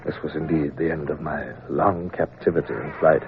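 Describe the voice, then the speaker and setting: A grave naval captain recalls his voyage in a deep, low voice, measured and reflective. The sound is thin and phone-like, with a faint hiss, like an old radio play broadcast. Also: fairly even intonation. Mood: somber. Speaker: narrator and lead character. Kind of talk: 1950s-style radio drama.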